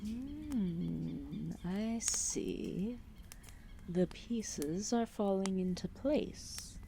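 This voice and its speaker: A woman playing a calm, solemn, ancient serpent deity in an ASMR roleplay, speaking softly and formally with deliberate pauses. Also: mid-range voice, noisy background, exaggerated voice. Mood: calm, inquisitive. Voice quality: soft, breathy, often dropping into whispers. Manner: slow, deliberate, formal phrasing with long pauses.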